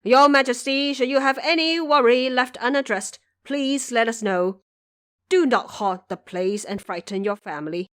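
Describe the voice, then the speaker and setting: A woman's voice: high voice, normal speed, a bit bright, clear, resonant tone. Woman playing a loyal, bold courtier. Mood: urgent, pleading. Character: bold, loyal male courtiers addressing their emperor. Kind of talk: audio drama character voice.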